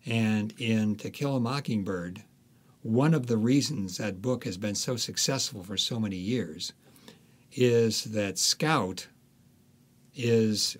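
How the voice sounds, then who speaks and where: Low-pitched man, halting and reflective. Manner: halting, deliberate, pausing often. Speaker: author, interview guest. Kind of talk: reflective conversational explanation.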